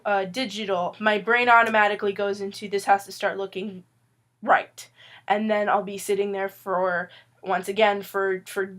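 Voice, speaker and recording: A woman's voice: mid-range voice, fairly bright tone. A woman who is ill with a cough gives a casual, rambling tutorial on making comics, joking at herself and a bit loopy. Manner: loopy, rambling, self-mocking. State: sick with a cough. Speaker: webcomic artist sharing tips. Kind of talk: casual tutorial talk to viewers. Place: indoors at a wooden table.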